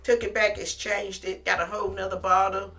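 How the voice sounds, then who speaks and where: A middle-aged woman talks straight to camera with a deep but bright, ringing voice, chatty and animated. She calls the viewer "honey", and soft music plays faintly behind her. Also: fairly quick. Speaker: lifestyle vlogger. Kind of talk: casual talk to camera.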